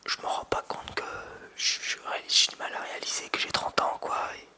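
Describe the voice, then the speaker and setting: Man in his thirties for ASMR, close-mic whisper, crisp and sharp, halting restarts. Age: 30s. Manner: soft whisper with hesitant restarts. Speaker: ASMR creator. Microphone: close binaural mic with hand sounds.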